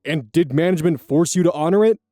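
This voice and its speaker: A man reading online stories aloud as host, adding blunt, profane commentary in a casual, animated way. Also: on the high side, fast, dry recording, studio quality.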